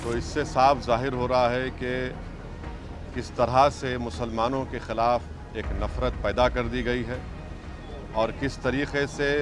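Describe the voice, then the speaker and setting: A man of average pitch gives a statement on current events. His phrasing is halting and deliberate. Music is clearly heard behind him, along with outdoor vehicle sounds. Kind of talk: spoken statement on a news event.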